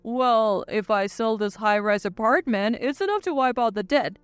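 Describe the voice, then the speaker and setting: A woman's voice: on the high side, normal speed, clean tone, dry recording, soft background music, lightly acted. A woman playing a selfish, dismissive ex-husband with a curt manner in a narrated family story. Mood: defiant. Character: selfish, defiant ex-husband, an adult man, dismissive.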